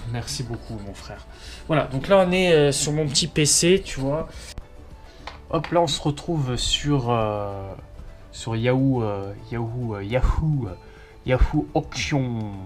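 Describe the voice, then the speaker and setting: A man's voice: mid-range voice, animated, measured pace, soft background music. A man from southwestern France chatting casually to camera as a vlogger, informal and slangy with plenty of swearing. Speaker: car vlogger.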